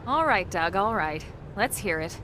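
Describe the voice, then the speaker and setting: An actress playing a cool, shrewd squad commander in a game, dry, measured and skeptical. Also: female voice, medium pitch, expressive, bright, forceful tone, slight background noise. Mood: dryly amused. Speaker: voice actor in a game cutscene. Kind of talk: game cutscene acting. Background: music.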